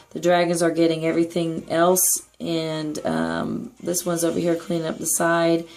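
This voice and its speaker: Woman, hoarse, raspy, lowish voice, chatty, upbeat, gameplay tutorial narration. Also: a little mellow. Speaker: clan leader giving strategy tips.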